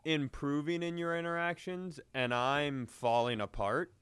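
A man's voice: on the high side, fairly even intonation, a little slow, fairly bright tone, clean tone. A man co-hosting in casual banter, with wry interjections and some swearing. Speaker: podcast co-host.

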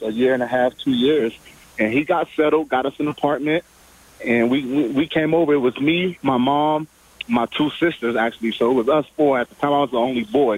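A man's voice: medium pitch, no room sound, low-quality recording. Young man telling his story by phone. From Liberia. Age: 20s. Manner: casual, rambling, with repeated restarts. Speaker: football player guest. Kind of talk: relaxed storytelling interview. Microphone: phone call. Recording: radio phone interview.

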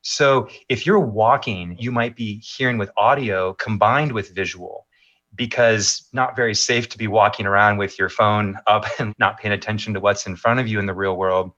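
A middle-aged man answering interview questions as a maps executive, in measured, polished talking points with occasional fillers. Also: on the low side, fairly even intonation, fairly bright tone, highs cut off.

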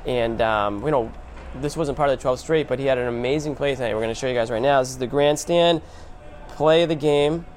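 A man's voice: medium pitch, brisk, a little mellow, a little hiss or hum. A man hosting a sports show, giving conversational, emphatic basketball analysis and repeating phrases for punch.

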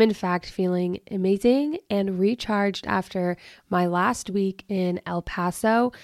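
A young woman hosting her own podcast in upbeat, chatty talk, her voice a bit lower and rougher after allergies early in the morning. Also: darkish tone, no room sound, clean studio sound. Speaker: podcast host. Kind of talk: casual solo podcast talk.

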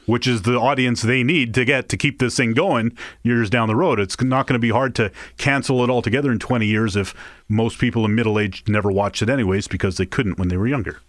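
A man's voice: mid-range voice, fairly even intonation, a little fast, no echo, studio quality. A man co-hosting a show as a film reviewer, talking in a conversational, opinionated way. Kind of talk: casual movie chat.

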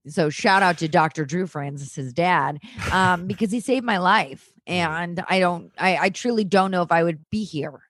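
Woman in her 30s chatting openly on a podcast, lowish voice, dry close mic. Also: no echo. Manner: candid, chatty, filler-heavy. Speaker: guest actress. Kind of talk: casual interview talk.

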